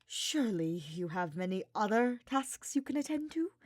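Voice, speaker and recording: A woman's voice: medium pitch, sing-song, normal speed, a little mellow, no echo, voice pushed up. A woman voicing a dour male mage who speaks in a dry, formal, sarcastic way, in a fantasy story. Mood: curt, insistent.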